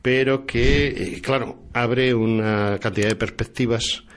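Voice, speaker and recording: A man with a Spanish accent from Spain hosting a radio interview in a long-winded, halting, conversational way. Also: mid-range voice, steady tone, smooth. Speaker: radio interviewer presenting books.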